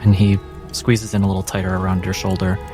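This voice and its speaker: A man narrating a tabletop roleplaying game as game master, in a measured, deliberate way. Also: sits low, completely flat delivery. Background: music.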